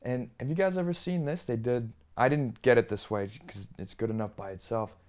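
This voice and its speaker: A man's voice: mid-range voice, a little fast, darkish tone. A man from Bulgaria in a casual chat, speaking a bit hesitantly as he thinks aloud. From Bulgaria, later grew up in the US.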